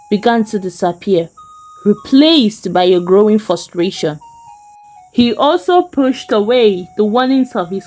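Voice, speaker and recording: A woman's voice: medium pitch, darkish tone, low-quality recording. A woman narrating a folktale as a storyteller, in a dramatic scripted style. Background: faint music.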